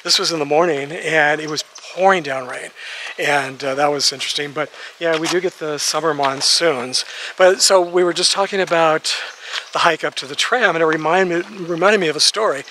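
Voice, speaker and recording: A man's voice: a little high, normal speed, pushed and bright, slight background noise. A man chatting casually as he guides a walking tour outdoors, laughing often. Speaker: vlogger walking-tour host. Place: outdoors on a neighborhood street at dawn, walking.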